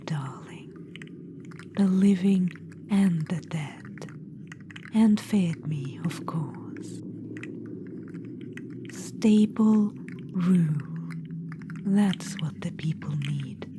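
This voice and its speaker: A woman's voice: fairly low pitch, drawn-out words, pauses often. Woman whispering as a smug undead witch-queen. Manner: soft intimate whisper, calls listener darling; smug, boastful, self-satisfied. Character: vain undead witch-queen necromancer, woman, ancient and proud. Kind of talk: ASMR audio roleplay. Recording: whisper with steady background noise.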